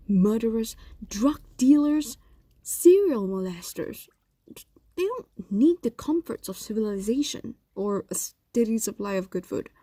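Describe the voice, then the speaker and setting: A woman voicing a possessive, evasive fox demon in an intimate audio roleplay, speaking softly and often dropping into whispers. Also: mid-range voice, slightly rough, dry recording, heavily acted. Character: possessive, evasive female fox demon doting on captive human.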